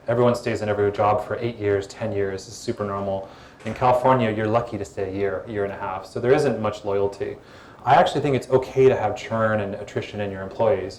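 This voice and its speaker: A man answering audience questions at a startup event in a calm, relaxed, conversational way, on a mic in a hall. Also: fairly low pitch. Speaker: guest speaker, former social media executive. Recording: echoey event hall with faint room noise.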